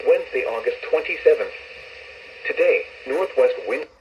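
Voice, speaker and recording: A man's voice: mid-range voice, animated, normal speed. Noisy radio weather announcer, automated male voice. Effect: played through a radio speaker. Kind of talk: scripted weather bulletin. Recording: noisy weather radio speaker with poor signal.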